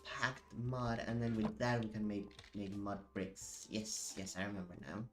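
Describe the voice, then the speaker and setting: A man's voice: on the low side, steady tone, a little slow, fairly bright tone, faint music behind. A man live-streaming a video game from home, chatting in a rambling way and sounding sniffly from allergies. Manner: rambling and chatty, many "like"s and self-corrections. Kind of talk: casual live-stream chat while playing. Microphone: desk microphone.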